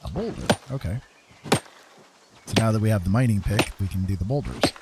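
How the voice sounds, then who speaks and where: A man's voice: fairly low pitch, expressive, moderate pace, very noisy. A man giving casual gameplay commentary, thinking aloud and often tacking little questions onto his sentences. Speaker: game streamer.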